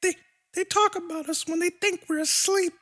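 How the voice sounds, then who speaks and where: Bashful fly-creature daughter, male narrator in falsetto, tiny high voice, hesitant stammer, audiobook role. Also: fairly even intonation, normal speed, a bit bright. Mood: embarrassed, fearful.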